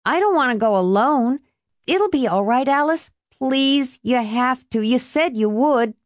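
A woman's voice: on the high side, takes it slowly, dry recording, voice pushed up. A woman voicing an earnest, clingy teenage boy in an audiobook, begging and pleading.

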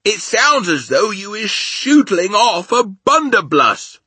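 A man voicing a gentle, excitable storybook giant who fusses and speaks in quirky, ungrammatical phrases. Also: a little high, measured pace, slightly rough, no room sound, voice pushed up, full character voice. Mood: pained, pleading. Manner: quirky ungrammatical speech with invented words. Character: big friendly giant, male, gentle, excitable, fussy about his ears.

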